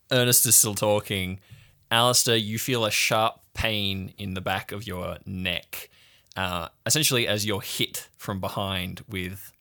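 Guy running a tabletop game, low gravelly voice w a sharp bright edge, deliberate narration. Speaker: game master. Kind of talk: improvised second-person scene narration for a tabletop game.

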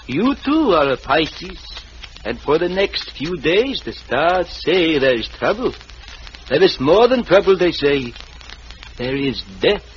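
An actor playing a superstitious, deferential handyman with halting, simple phrasing in an old radio mystery. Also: high voice. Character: ominous superstitious male handyman, slow and deferential. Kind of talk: 1940s radio mystery acting. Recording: muffled, noisy old radio recording.

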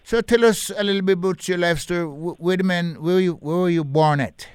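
Smooth-voiced radio host man. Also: high voice, a little fast, no echo. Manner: affirming, repeats himself, casual. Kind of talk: radio interview.

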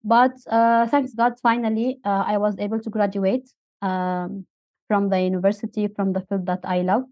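A woman in her thirties from Syria tells her story in careful, halting English that is full of soft fillers. Her voice sits at an ordinary pitch and sounds dry and close. The recording is a little dull and lo-fi. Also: flat delivery, no echo. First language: Arabic. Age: 30s. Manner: hesitant, many uh fillers, non-native phrasing. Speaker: guest. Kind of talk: interview storytelling.

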